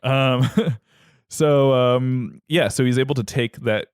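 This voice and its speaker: A man's voice: mid-range voice, fairly even intonation, slow, clear, resonant tone, no echo, clean studio sound. A man hosting a comedy podcast, retelling a myth in a rambling, joking way. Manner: rambling, joking, filler-heavy. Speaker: podcast host, storyteller.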